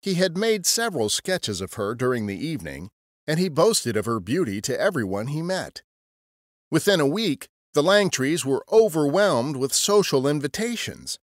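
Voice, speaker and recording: A man's voice: a little high, sing-song, moderate pace, dry recording, studio quality. A man narrating a scripted history story as a podcast storyteller.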